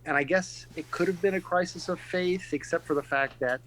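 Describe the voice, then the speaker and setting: Man, middle-aged, pastor, earnest, reflective, halting, casual interview. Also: on the high side, a bit bright, a little hiss or hum, low-quality recording. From California and Texas, USA. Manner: reflective and earnest, with frequent pauses and restarts.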